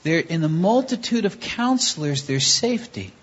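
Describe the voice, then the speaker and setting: Lively-voiced woman preaching. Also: lowish voice, normal speed, breathy edge. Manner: acts out short dialogues, lively. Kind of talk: sermon with live interpretation. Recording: dull lo-fi recording.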